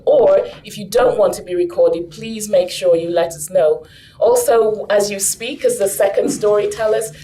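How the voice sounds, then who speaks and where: A woman's voice: mid-range voice, mellow, slight background noise, poor audio. A woman hosting a story circle at a conference, explaining how it works in a friendly way with light jokes. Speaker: host. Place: conference room.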